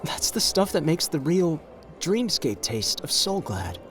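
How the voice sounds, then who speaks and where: A man's voice: on the high side, very animated, fairly bright tone, soft background music. A man playing a suave, flirtatious, confident gambler in an ASMR roleplay audio drama, teasing and intimate in the way he talks. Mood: smooth, relaxed. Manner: teasing, intimate, drawn-out phrasing with pet names.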